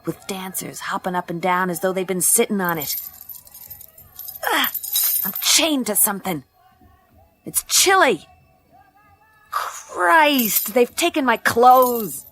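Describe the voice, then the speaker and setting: A woman's voice: medium pitch, animated, takes it slowly, pauses often, fairly bright tone. An actress playing a tough, wisecracking female private eye in a noir science-fiction radio play, with a streetwise, sardonic delivery. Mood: sardonic defiance. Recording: music under the voice.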